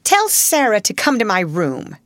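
A stern, cold headmistress, played by a woman reader, snaps out clipped orders in a loud, raised voice. She commands rather than asks. The recording is dry and close. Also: medium pitch, wildly swooping pitch, normal speed, dry recording.

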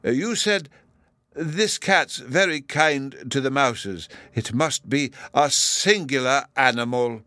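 A man's voice: medium pitch, slow, sharp tone, no echo, pitched above the performer's own voice. A man voicing an absent-minded, peevish professor who stammers and dithers through hesitant phrases. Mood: befuddled. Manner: stammering, muttering, chuckling hesitation.

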